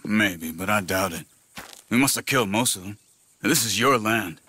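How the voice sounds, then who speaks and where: An actor playing a calm, wary American gunman in a Western game, speaking in a measured, matter-of-fact way. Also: lowish voice, breathy edge, exaggerated voice. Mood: calm, reassuring. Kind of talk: cinematic video game acting. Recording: loud music behind the voice.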